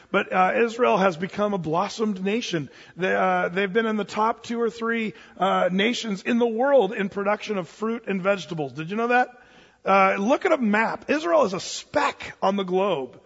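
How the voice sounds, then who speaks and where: A man's voice: sits high, fairly bright tone, breathy edge. A man giving an earnest, rambling talk on Bible prophecy, with many fillers and restarts. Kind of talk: informal preaching talk. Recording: dull lo-fi sound with long hall reverb.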